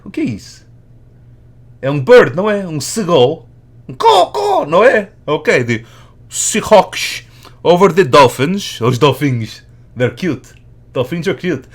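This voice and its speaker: Man putting on a cheerful Azorean Portuguese immigrant, Portuguese accent, broken English, bumbling, sidetracked, laughs a lot, slightly high voice. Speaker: podcast guest. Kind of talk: comedy character monologue.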